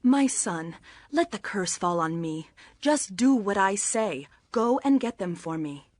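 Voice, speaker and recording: A woman playing a scheming, commanding mother in a scripture audio drama, hushed and insistent. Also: mid-range voice, expressive, measured pace, no echo. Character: Rebekah, scheming, commanding mother of grown sons.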